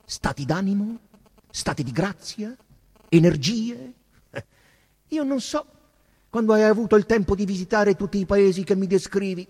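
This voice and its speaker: An actor playing a melancholy, mocking emperor in a theatrical literary recital, teasing and probing with dramatic pauses. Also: male voice, sits high, a little mellow, slightly rough, in a large hall, full character voice. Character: melancholy, mocking old emperor questioning his traveller. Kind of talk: theatrical literary recital over live music. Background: live saxophone music.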